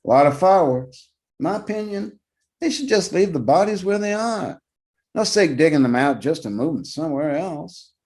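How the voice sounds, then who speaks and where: An older security guard and ex-cop, played by a male story reader, talks in a folksy, rambling way. His pitch sits well above the reader's usual voice. He gives his opinions bluntly, as if he has seen it all. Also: expressive, normal speed, darkish tone. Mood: opinionated. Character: talkative security guard near sixty, retired cop, blunt. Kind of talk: dramatic short story reading.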